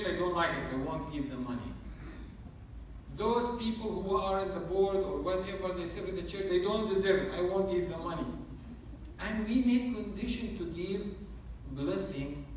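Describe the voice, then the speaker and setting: A man's voice: sits high, far from the mic. Man, priest, earnest sermon, very echoey church, faint hum behind. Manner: earnest, repetitive preaching cadence.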